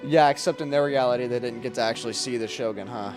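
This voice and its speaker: Casting: male gaming streamer, mid-pitched, chatty and informal, reacting off the cuff over faint game music. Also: fast talker. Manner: informal, slangy asides. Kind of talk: casual gameplay reaction commentary.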